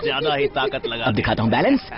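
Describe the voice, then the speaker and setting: A man's voice: mid-range voice, huge pitch swings, fast talker. A man playing a cocky, hyperactive young kung fu pupil in a dubbed cartoon, boastful and showy. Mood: boastful, impatient. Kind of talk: Hindi-dubbed animated film acting. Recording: dull lo-fi audio, music behind.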